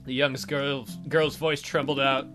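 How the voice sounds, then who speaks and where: A man's voice: mid-range voice, flat delivery, unhurried, over music. A man hosting a let's play, reading a visual novel aloud as dramatic narration with joking asides.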